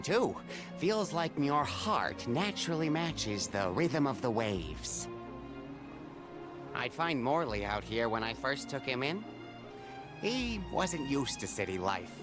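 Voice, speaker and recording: Animated, kindly fatherly mentor. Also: medium pitch. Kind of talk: video game cutscene acting.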